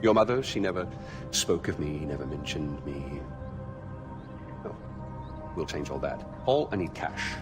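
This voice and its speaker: A man's voice: rumbling low, very animated, unhurried, pauses often, over music, heavily acted. A man playing a flamboyant, middle-aged tyrant in a video game cutscene, theatrically polite, mocking and volatile. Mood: smooth, charming. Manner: theatrical, affected politeness breaking into swearing outbursts.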